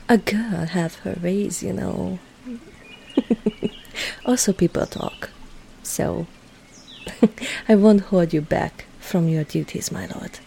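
A woman playing a teasing young medieval maid in an intimate roleplay drama, coy and playful, often dropping into breathy, hushed tones. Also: fairly low pitch, a little hiss or hum, heavily acted. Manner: coy, playful, soft breathy asides, slow deliberate phrasing. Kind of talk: intimate roleplay audio drama, partly whispered.

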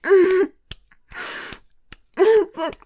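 A woman performing an intimate audio roleplay, whispering and moaning in a teasing, breathy manner. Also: very high voice, extremely slow, mellow. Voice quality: breathy, husky.

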